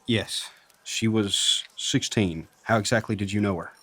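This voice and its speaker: A man playing a courteous, measured late-night soul radio DJ in a radio drama. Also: fairly low pitch, sharp tone, dry recording. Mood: guarded, somber.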